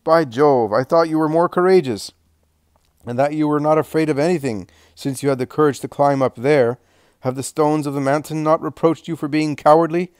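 A man reading aloud, voicing a scornful Roman soldier who taunts loudly in a raised voice. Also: fairly high pitch, normal speed, clean tone, no room sound, studio quality, pitched above the performer's own voice, close to an everyday voice. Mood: mocking. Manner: mocking, taunting. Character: scornful male Roman soldier, loud and jeering.

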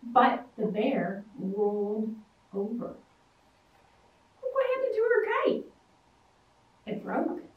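A librarian in her thirties reads aloud to small children, her high voice leaping around in a slow, sing-song way. She acts out the big moments with shouts and roars. The sound is distant and roomy, as if caught by a camera across the room. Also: huge pitch swings, phone-quality sound. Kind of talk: storytime read-aloud for young children. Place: library storytime room with toys. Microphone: far camera. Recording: echoey room, voice from afar.

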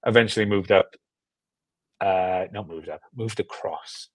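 Low-voiced young British man, dry close sound. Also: unhurried, dry recording. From Britain. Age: young adult. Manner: rambling, self-deprecating, repeats himself. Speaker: guest filmmaker. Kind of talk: casual interview chat. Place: room at home, on a video call.

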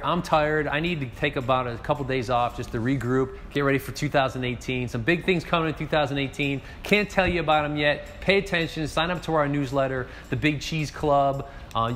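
Upbeat restaurant owner talking to camera. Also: male voice, medium pitch, fairly bright tone, a little hiss or hum. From northeast Ohio. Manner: upbeat, gestures with hands while talking. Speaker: restaurant owner giving a year recap. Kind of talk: casual direct-to-camera talk. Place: empty restaurant bar indoors. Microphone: seated facing a mid-distance camera.

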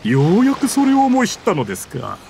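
抑揚の激しい尊大な策士の役人. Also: male voice, sits high, wildly swooping pitch, fast, vocal fry, a little hiss or hum, heavily acted. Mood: haughty, triumphant. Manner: haughty, theatrical, forceful formal speech. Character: proud, scheming male official, sarcastic and hot-tempered. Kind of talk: anime-style game voice acting.

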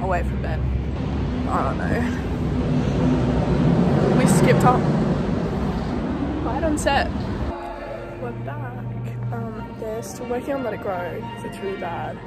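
A young woman talking casually as a vlogger into a phone held at arm's length. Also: mid-range voice. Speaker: vlogger in a pantomime cast. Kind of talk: casual vlog chat. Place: busy rehearsal studio hall, sometimes outdoors. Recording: phone recording with loud music and chatter.

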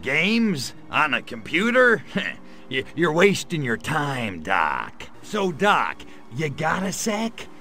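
A man voicing a sarcastic gray alien invader in a video game cutscene, delivering deadpan quips. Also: fairly high pitch, takes it slowly, full character voice. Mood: sardonic.

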